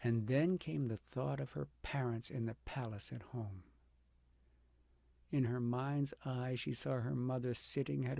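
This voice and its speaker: A man narrating a fairy tale aloud as an audiobook, with dramatic, deliberate phrasing that swells for emphasis and drops to a hush. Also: medium pitch, creaky, dry recording. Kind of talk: expressive storybook narration.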